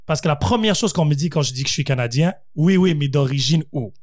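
Man, fast animated talk, dry sound. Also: a little high, no echo. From born in the United States, raised in several countries. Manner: animated, mixes English words into his French. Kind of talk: casual discussion.

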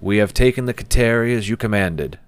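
A man playing a brisk, eager soldier. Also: fairly low pitch, expressive, smooth, dry recording, voice pushed up, close to an everyday voice. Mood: dutiful. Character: dutiful male soldier, eager and blunt.

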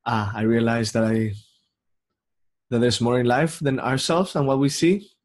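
A man's voice: medium pitch, fairly even intonation. Reflective young man hosting a podcast. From Mexico. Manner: slow, reflective, with many pauses and ums. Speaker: podcast host. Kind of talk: solo podcast monologue.

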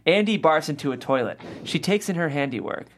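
A man's voice: a little high, expressive, fairly quick, a little hiss or hum. A man narrating scripted stage directions at a comedy table read.

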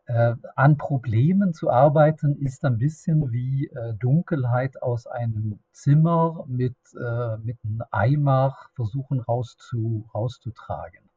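Middle-aged man, Swedish accent, native Swedish speaker, solo podcast host; unhurried, searching for words, mellow tone, slightly husky, dull dry recording. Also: mid-range voice, dry recording. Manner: halting, searching for words, many restarts. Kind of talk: unscripted solo podcast monologue.

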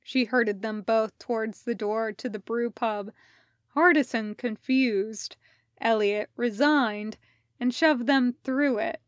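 A woman's voice: on the high side, steady tone, takes it slowly, no room sound, clean studio sound. A woman reading a story aloud as scripted narration. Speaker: podfic reader. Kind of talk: scripted narration of fan fiction with acted dialogue.

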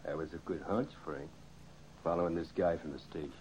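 Cool threatening hoodlum, deep voice, 1940s radio crime show, faint hiss. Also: male voice, brisk, darkish tone. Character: cool, threatening male gangster, friend of a dead robber. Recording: faintly noisy old radio recording.

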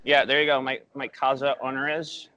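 A man's voice: medium pitch, flat delivery, bright, forceful tone, a little hiss or hum. A man chatting as a podcast guest, laughing often and stumbling over his words. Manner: laughs a lot, halting. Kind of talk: casual podcast chat.

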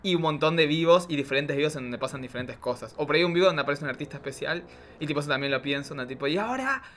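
Argentine man, quick pace, bright voice, casual slangy chat, noticeable background noise. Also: on the high side, expressive. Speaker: drag queen guest.

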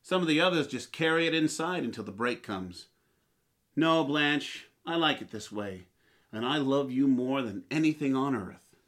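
A man's voice: medium pitch, lively intonation, moderate pace, fairly bright tone, natural voice. A man playing a blustery, henpecked but sentimental husband in 1940s radio comedy style. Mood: rueful, tender.

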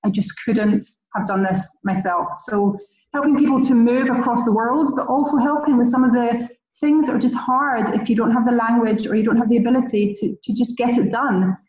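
Woman, Scottish accent, enthusiastic storyteller, slightly high voice, distant mic, muffled. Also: steady tone, a little fast, darkish tone, roomy sound. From Scotland. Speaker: guest, relocation concierge. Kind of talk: podcast interview.

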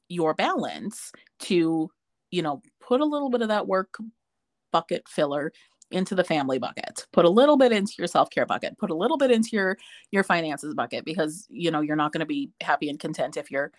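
Chatty lady, lowish voice, dry close sound, lots of um and like. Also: no room sound. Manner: chatty storyteller, lots of fillers and restarts. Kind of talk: casual chat.

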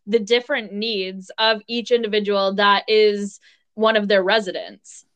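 A woman's voice: medium pitch, steady tone, measured pace, fairly bright tone. A woman hosting a podcast, asking her guest long, winding interview questions. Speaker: podcast host.

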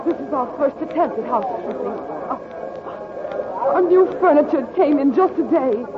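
A distraught young mother speaks high, with wide swings of pitch. The melodramatic 1940s radio acting sits in a muffled, noisy recording. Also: expressive, moderate pace. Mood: distressed, hurt. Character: distraught young mother escaping a house fire. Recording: dull, noisy 1944 recording with crowd.

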